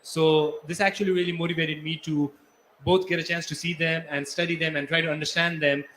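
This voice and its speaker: A man's voice: fairly high pitch, little pitch movement, fairly quick, a bit bright, slight background noise, poor audio. A man from Nepal, a climate researcher explaining earnestly on a panel. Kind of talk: spontaneous panel talk.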